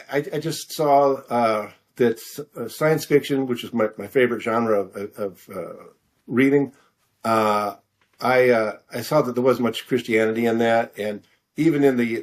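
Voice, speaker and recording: Halting middle-aged man telling his story. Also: medium pitch. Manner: halting, self-deprecating, often repeats words while thinking. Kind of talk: casual conversational storytelling.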